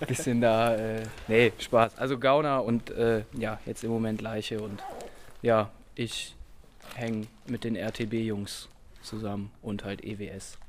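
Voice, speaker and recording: Slow, mumbling, joking male voice outdoors, faint noise behind. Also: lowish voice. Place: outdoors under a bridge.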